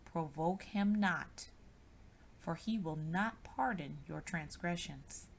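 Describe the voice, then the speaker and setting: Slow, gravelly woman reading scripture. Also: on the low side. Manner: measured, deliberate phrasing. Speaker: scripture reader.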